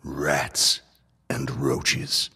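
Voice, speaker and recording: A raspy, menacing old reverend. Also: male voice, rumbling low, flat delivery, pushed and bright, whispered, heavily acted. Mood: pompous, menacing. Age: older. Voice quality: husky, raspy. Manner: pompous, deliberate, menacing. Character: menacing old reverend, scheming villain near death. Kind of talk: audio drama acting.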